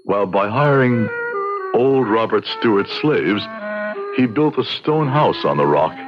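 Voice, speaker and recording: A man's voice: on the low side, expressive, a little slow. A man acting a grave, courtly frontier judge who narrates a radio drama in formal, literary phrases. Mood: ominous recounting. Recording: dull lo-fi radio, music behind.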